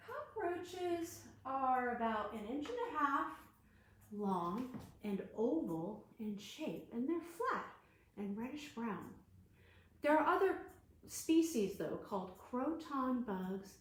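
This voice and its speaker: A woman children's librarian leading an online storytime, reading and leading movement games in an animated, sing-song way. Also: a little high, slight background noise. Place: library room. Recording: very echoey room.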